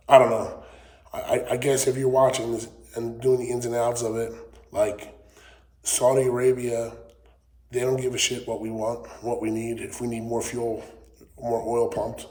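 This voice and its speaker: A man's voice: mid-range voice, level tone, normal speed, a little mellow. Channel host, New man with a weak, recovering voice, rambling rant, roomy workshop echo. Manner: rambling, frequent ums and swearing. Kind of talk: casual political rant to camera. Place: wood shop. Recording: echoey workshop with faint noise.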